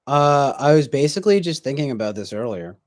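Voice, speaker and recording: A man's voice: mid-range voice, sing-song, fairly quick, darkish tone, dry recording. A man in his twenties chatting casually on a stream, reflective and rambling, with many fillers and restarts. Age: 20s. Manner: reads viewer comments aloud. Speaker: livestream host.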